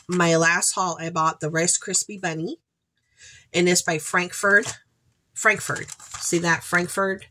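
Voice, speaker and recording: A congested woman in her forties chats through a store haul with rambling, upbeat asides, her voice sitting somewhat low for a woman. She talks to a webcam while seated in a room at home. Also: sharp tone. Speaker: haul vlogger, channel host. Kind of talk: casual haul chat to camera. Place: home room with shelves, indoors.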